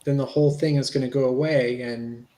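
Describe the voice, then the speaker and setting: A man's voice: medium pitch, fairly even intonation, a little fast, dark tone, natural voice. A man playing a polite, reassuring police investigator in a conversational transcript reading, repeating himself as he goes. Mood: matter-of-fact. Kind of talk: dramatic reading of an interview transcript. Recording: echoey room.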